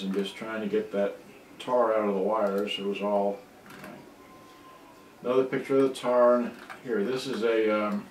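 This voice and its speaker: Low-voiced rambling man in a roomy recording. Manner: rambling, halting. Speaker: electronics repair hobbyist presenting. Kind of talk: casual off-the-cuff commentary over photos. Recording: roomy, distant mic, faint noise.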